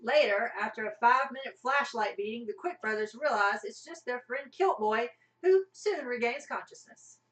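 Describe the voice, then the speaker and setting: A woman's voice: a little high, normal speed, hardly any pauses, fairly bright tone, far from the mic. A woman reading scripted narration for an amateur live radio play. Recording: echoey room, off mic, music behind.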